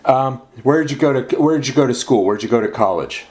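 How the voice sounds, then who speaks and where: A podcast host interviews an author. He speaks at a middle pitch and asks his prepared questions in a measured way. Between answers he adds short acknowledgments. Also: lively intonation, a little mellow.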